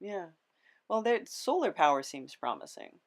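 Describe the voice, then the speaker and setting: Lowish-voiced woman hosting a podcast. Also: very animated, moderate pace. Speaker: podcast host. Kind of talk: casual interview chat.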